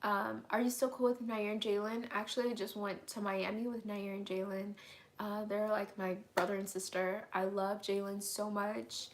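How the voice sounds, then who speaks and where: A young woman, hoarse and getting over an illness, chatting candidly through a live Q&A at home, answering viewer comments with lots of "um"s and "like"s. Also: mid-range voice, flat delivery, normal speed, slight background noise. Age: young adult. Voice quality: raspy. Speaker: vlogger hosting a live Q&A. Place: at home, indoors.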